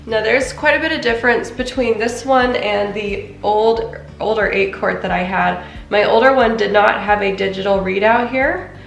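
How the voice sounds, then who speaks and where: A woman's voice: a little high, gravelly, roomy sound. Woman w a head cold chatting thru an unboxing, kinda far from the mic, music playing under. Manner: chatty and excitable, narrating as she unpacks. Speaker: home cooking vlogger. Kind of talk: casual unboxing vlog.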